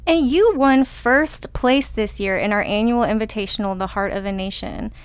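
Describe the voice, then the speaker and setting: A woman's voice: on the high side, moderate pace, dry recording. A woman hosting a podcast, reading a polished introduction and asking an interview guest questions. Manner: polished, reads announcements smoothly. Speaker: podcast host.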